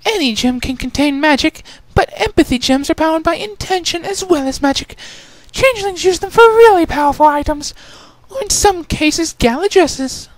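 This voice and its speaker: Man putting on a very high, theatrical lady unicorn. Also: expressive, normal speed, no room sound, low-quality recording. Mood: gushing, reassuring. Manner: gushing, theatrical. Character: refined, dramatic, gushing lady unicorn pony.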